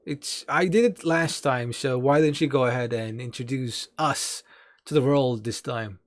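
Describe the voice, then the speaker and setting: A man's voice: mid-range voice, measured pace. A man from Turkey with a Turkish accent, a native Turkish speaker co-hosting a podcast in casual chat. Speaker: podcast co-host.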